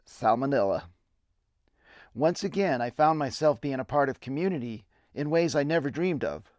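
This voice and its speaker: A middle-aged man giving a dramatic reading of his own memoir in deliberate, theatrical storytelling. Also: mid-range voice, expressive, darkish tone, no room sound, studio quality. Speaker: narrator and videographer. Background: music bed.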